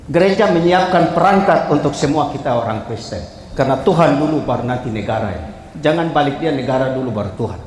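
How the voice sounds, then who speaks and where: Male official, pitching to a panel, forceful and direct, with faint room noise behind. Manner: emphatic, calls out to the audience, moves slide to slide. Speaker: civil registry official presenting to a jury. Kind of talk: formal presentation to a panel. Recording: echoey hall, faint ambience.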